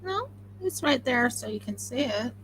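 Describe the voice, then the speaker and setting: A woman with an Oklahoma accent chatting excitably and rambling on a home craft live stream while she sews. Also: fairly high pitch, normal speed, a little hiss or hum. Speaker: craft channel host. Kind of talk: casual live-stream chat while sewing.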